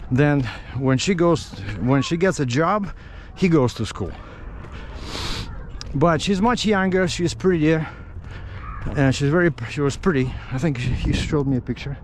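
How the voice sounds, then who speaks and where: A middle-aged man from Russia with a Russian accent tells stories casually in English as a non-native speaker, haltingly searching for words. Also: fairly high pitch, darkish tone. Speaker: vlogger. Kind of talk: casual rambling storytelling. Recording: outdoor street noise with traffic.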